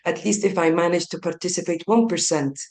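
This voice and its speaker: A woman's voice: on the low side, fairly quick, a little mellow. A woman speaking as a guest at an online business summit, effusive and earnest, over a video call.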